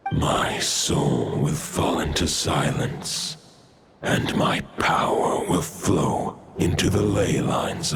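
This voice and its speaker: Dying old wolf god for a game, super slow gravelly rumble, weary, loud ambience around him. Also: male voice, exaggerated voice. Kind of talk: dramatic video game acting.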